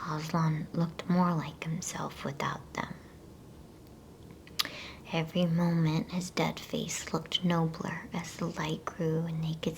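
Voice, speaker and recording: A woman whispering a bedtime story in an ASMR style, hushed, soothing and unhurried. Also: low voice, creaky, slight background noise. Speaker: ASMR storyteller reading a children's novel aloud.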